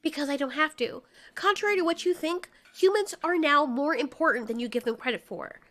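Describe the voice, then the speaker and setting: Woman playing a firm, bright-voiced monster queen. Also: high voice, fairly quick. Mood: firm, argumentative. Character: regal female giant moth monster, stern protective queen.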